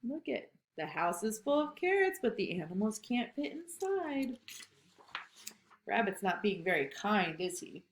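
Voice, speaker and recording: A woman reading a picture book aloud to children, slow and expressive, stopping to ask questions. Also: medium pitch, a little hiss or hum. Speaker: read-aloud storyteller. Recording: roomy echo.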